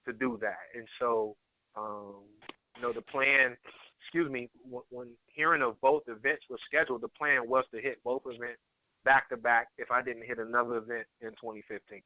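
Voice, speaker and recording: An earnest man speaking as a guest on a conference call, halting and repeating words as he gives a testimonial over a telephone line. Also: mid-range voice, no echo. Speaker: guest, network marketer and area manager. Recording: tinny phone line, narrow band.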